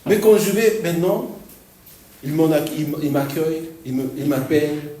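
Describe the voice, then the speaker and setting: Male pastor, stop-start delivery with frequent laughs, mellow voice; distant mic in an echoey church hall. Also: fairly high pitch, animated, slight background noise. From Argentina. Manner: halting, repeats words, laughs often. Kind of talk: sermon.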